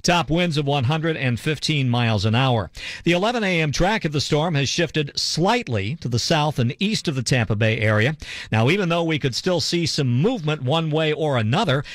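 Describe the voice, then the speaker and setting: An American man reading a radio news bulletin in a measured, formal manner as a reporter. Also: fairly high pitch, lively intonation, no room sound, clean studio sound.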